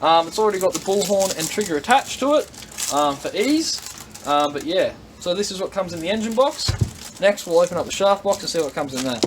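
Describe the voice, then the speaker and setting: Australian man, high-set voice, casual how-to demonstrator, plain-spoken, step-by-step, noisy indoor workshop recording. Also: animated, a little fast. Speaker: product demonstrator. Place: indoor workshop at a tool bench.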